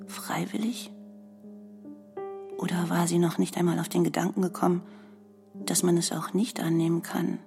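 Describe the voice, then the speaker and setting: A woman playing a brooding granddaughter in a literary radio play monologue, slow and creaky, with music behind her. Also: lowish voice, flat delivery. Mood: pensive, questioning. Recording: prominent music under the voice.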